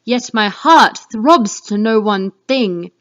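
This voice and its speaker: Slow, declamatory woman as tormented king Macbeth. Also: medium pitch, expressive, a bit bright. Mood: defiant, demanding. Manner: declamatory, exclamatory. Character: Macbeth, male Scottish thane turned king, fearful and defiant. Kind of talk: dramatic reading of Shakespeare. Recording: dull lo-fi home recording.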